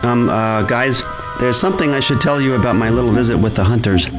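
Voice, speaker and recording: Guy as nervous practical tech in a game cutscene, mid-pitched, hesitant, big music behind. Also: brisk, natural voice, poor audio. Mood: sheepish. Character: nervous, practical male field technician. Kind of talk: video game cutscene acting.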